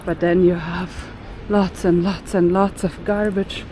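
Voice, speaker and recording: A woman's voice: lowish voice, level tone, unhurried, mellow. A woman co-hosting a travel vlog on an outdoor city street, talking earnestly and arguing her points with numbers. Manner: earnest, argumentative, explains with figures. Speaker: travel vlogger, co-host. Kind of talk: casual vlog narration. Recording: street traffic noise behind.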